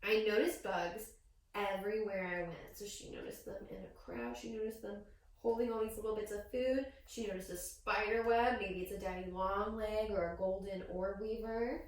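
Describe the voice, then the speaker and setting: A woman reading a picture book aloud to young children as a teacher, with expressive storytelling and questions to her listeners. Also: mid-range voice, moderate pace. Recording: very echoey room, distant microphone.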